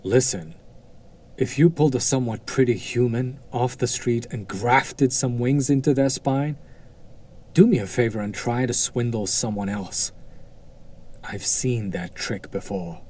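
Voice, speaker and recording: A man's voice: mid-range voice, measured pace, darkish tone, slightly rough. A man playing a haughty, sardonic demon buyer in an audio drama, drawling with condescending, theatrical skepticism. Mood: mocking disbelief. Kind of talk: scripted roleplay audio drama acting.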